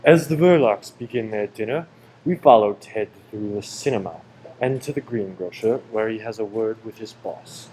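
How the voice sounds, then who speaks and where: A narrator with a husky, breathy voice reads scripted narration. The delivery is that of a radio play storyteller. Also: medium pitch, normal speed, dark tone, low-quality recording.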